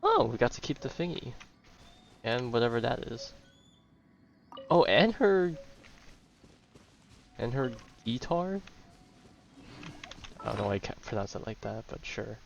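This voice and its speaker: A man's voice: medium pitch, big pitch swings, a little slow, vocal fry, pauses often, highs cut off, faint music behind. A man giving casual, slangy gameplay commentary, thinking aloud. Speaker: gamer playing through and commenting.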